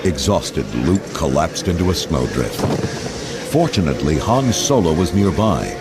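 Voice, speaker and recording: A man's voice: deep voice, sing-song, measured pace, heavy background noise. A man narrating a scripted storybook read-along in a dramatic storytelling manner. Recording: loud music under narration.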